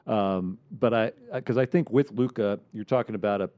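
A man on a sports roundtable giving casual, analytical commentary in a measured way. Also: on the low side, dry recording, lo-fi sound. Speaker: sports panelist.

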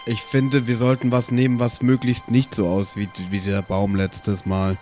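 Pubescent dwarf, fed up and pushy, played by a man, medium male register, fantasy roleplay over soft music. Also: medium pitch, monotone, moderate pace, lightly acted. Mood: insistent. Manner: impatient, sulky. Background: background music.

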